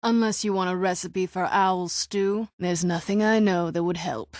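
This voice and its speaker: A woman's voice: on the low side, fairly even intonation, moderate pace, a little mellow, no room sound, studio quality, natural voice. A woman voicing a bitter, defiant witch who calls herself an old hag, sardonic and world-weary, in a dramatic video game performance. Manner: sardonic, world-weary, pleading when threatened.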